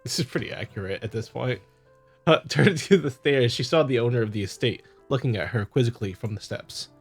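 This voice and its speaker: A man's voice: medium pitch, brisk, husky, soft background music. A man hosting a visual novel playthrough, chatting casually and reading aloud, joking and stumbling over the text. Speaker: host, gamer.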